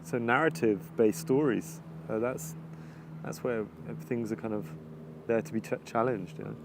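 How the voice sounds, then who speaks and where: A man with an English accent, answering interview questions in a halting, reflective way, often restarting sentences while he searches for words. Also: medium pitch, gravelly, background noise. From England. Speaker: videographer interviewee. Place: outdoors overlooking the ocean.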